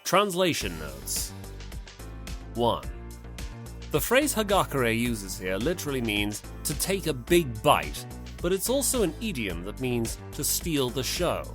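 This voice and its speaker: A man narrating an audiobook, reading scripted prose and notes in a dramatic, expressive way. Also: mid-range voice.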